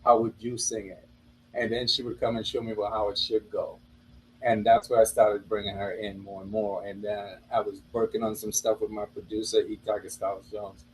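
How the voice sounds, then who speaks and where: A man with a Trinidadian accent talks in a lowish voice, relaxed and chatty as he tells his story. A faint hum of ambience sits behind him. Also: moderate pace, low-quality recording. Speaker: rapper and producer. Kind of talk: casual interview chat.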